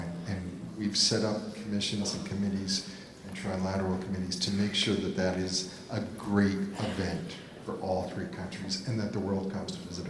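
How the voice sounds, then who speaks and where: A deep-voiced man with a flat delivery speaks on a consulate panel, adding quick remarks with small restarts. His voice carries a long hall reverb and heavy echo. Steady noise sits underneath. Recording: echoey noisy hall with reverb.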